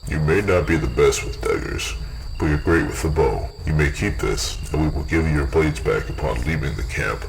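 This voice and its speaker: Stern male elf warrior, extremely low and gravelly, terse orders, noisy night ambience. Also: normal speed. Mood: stern, measured. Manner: terse, commanding.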